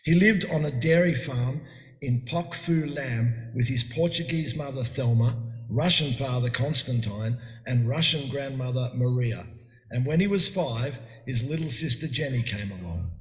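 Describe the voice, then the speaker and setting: A man's voice: medium pitch, normal speed. A middle-aged man delivers a eulogy in a plain, conversational way, stopping for fillers and restarts as he goes. His voice rings through a large hall with a long, roomy reverb. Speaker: eulogy speaker. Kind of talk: funeral eulogy. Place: memorial hall.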